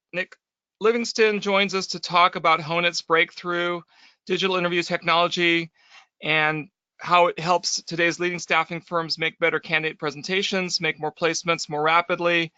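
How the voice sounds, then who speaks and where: Guy hosting a business webinar, highish crisp voice, dry but kinda lo-fi sound. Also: sharp tone, no room sound. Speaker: host. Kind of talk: webinar interview, scripted introduction then conversation. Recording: slightly dull, band-limited webinar audio.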